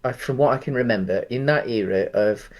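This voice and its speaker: A man's voice: mid-range voice, brisk. A man co-hosting a casual film discussion, talking in an explanatory, hedging way. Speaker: podcast co-host.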